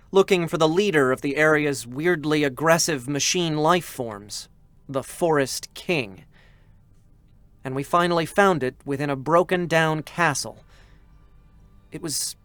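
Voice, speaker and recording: An emotional young male android scanner, with a bright, tense edge to his voice. He speaks slowly, with faint music playing underneath. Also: fairly high pitch, dry recording. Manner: strained, breaking into desperate shouts. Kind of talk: live concert reading of game scenes.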